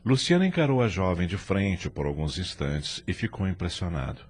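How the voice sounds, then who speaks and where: A man's voice: deep voice, sing-song, normal speed. A man narrating a scripted audio drama in a solemn storytelling style.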